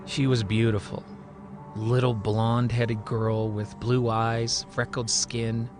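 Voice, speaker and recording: A man telling a scripted story as a podcast storyteller, with a theatrical, emphatic and suspenseful delivery. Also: medium pitch. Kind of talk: dramatic scripted story narration.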